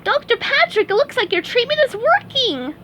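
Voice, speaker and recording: A woman voicing a cheerful young male sea sponge with a very high, squeaky voice. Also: sing-song, moderate pace, sharp tone, a little hiss or hum, heavily acted. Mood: excited.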